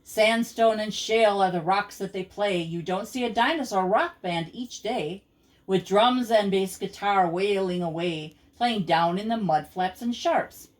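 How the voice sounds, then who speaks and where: Older woman, grandmotherly storyteller, slow pace, mid-pitched, rhythmic read-aloud of children's verse.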